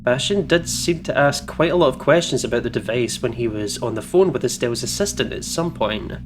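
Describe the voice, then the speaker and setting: A man's voice: medium pitch, lively intonation, a little fast, noisy background. A man doing a casual game playthrough, reading narration aloud and reacting with amusement. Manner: giggly, amused.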